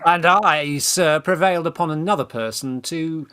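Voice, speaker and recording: A man playing an assertive young gentleman schemer in a theatrical radio farce, speaking briskly and formally. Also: on the high side, clear, resonant tone. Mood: firm, placating.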